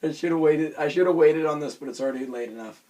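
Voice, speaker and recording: A hoarse young man with a raspy voice, rambling casually through a self-conscious vlog with many fillers and restarts. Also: a little high, fairly quick, dark tone. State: hoarse from screaming. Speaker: vlogger reviewing a cartoon episode.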